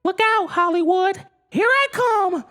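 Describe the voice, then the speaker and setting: A man's voice: extremely high pitch, unhurried, pushed and bright, faint music behind, voice pushed up, exaggerated voice. A man voicing a whiny, indignant little alien creature in a theatrical, grumbling way for a children's storybook. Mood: excited. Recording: long hall-like reverb.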